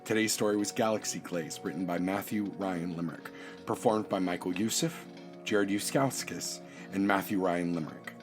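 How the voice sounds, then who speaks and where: A man's voice: low-pitched, normal speed. A man reading a scripted introduction and credits as a podcast announcer. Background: prominent music.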